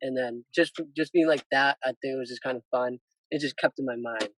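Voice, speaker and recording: A man's voice: mid-range voice, fairly even intonation, fairly quick, fairly bright tone, rough recording. A teenage male student athlete casually telling anecdotes as an interview guest.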